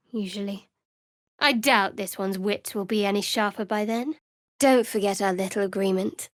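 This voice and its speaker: An actress playing a guarded, sardonic young female cleric of a dark goddess in a video game, speaking with dry, wry, clipped sarcasm. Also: a little high, no room sound, natural voice. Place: dry studio recording.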